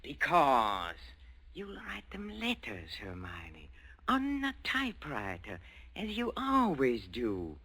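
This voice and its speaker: An actor in a 1940s radio drama playing a henpecked husband turned murderer, hesitant and menacing by turns. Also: male voice, medium pitch, huge pitch swings, slow pace, pushed and bright, exaggerated voice. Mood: frenzied resolve. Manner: stammering, hesitant, then fervently menacing. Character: meek henpecked husband turned gloating, unhinged wife murderer. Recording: old radio broadcast with music.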